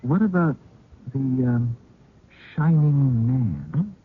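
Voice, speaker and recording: An actor playing an inquisitive, skeptical young husband in an old-time radio drama, probing hesitantly. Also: male voice, mid-range voice, sing-song, extremely slow, slightly rough, full character voice. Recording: muffled phone-like radio recording, faint noise.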